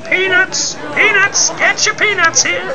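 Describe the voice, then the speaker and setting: A man voicing a shouting ballpark crier with drawn-out calls, in old radio drama style. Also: very high voice, steady tone, pitched above the performer's own voice. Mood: hawking. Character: shouting ballpark peanut vendor and umpire. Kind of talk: 1940s radio acting. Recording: crowd noise, distant sound.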